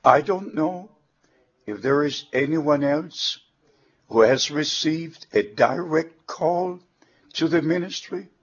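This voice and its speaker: A man with a German accent, a native German speaker, preaching a sermon in slow, measured, deliberate short phrases. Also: a little high. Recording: dull lo-fi sermon recording.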